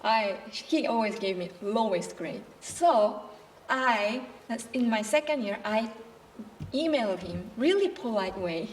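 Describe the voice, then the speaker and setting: A woman who is not a native English speaker talks slowly and carefully, restarting her sentences as she searches for words. Her voice sits a little high and comes from some distance off the mic. A faint hum of room noise lies beneath her. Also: lively intonation. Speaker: panelist. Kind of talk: panel discussion answer.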